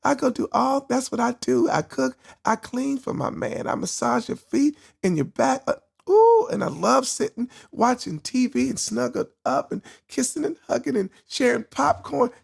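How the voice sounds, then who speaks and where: A man's voice: very high-pitched, sing-song, moderate pace, creaky, few pauses, husky, voice pushed up, exaggerated voice. A man putting on a sweet-talking girlfriend's voice. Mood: sugary. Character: sweet-talking girlfriend who turns cold, woman.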